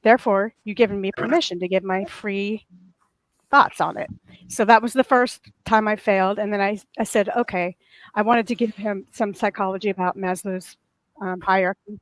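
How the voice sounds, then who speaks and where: Woman panelist, soft, slightly raw voice, earnest long-winded storyteller, dry sound. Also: mid-range voice, no room sound, low-quality recording. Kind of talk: casual group chat, storytelling.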